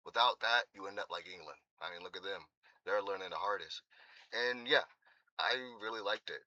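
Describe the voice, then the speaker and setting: A man's voice: low voice, moderate pace, sharp tone, no echo, lo-fi sound. A man hosting his own channel, giving rambling, strongly opinionated commentary that often corrects itself mid-sentence. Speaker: political commentary vlogger.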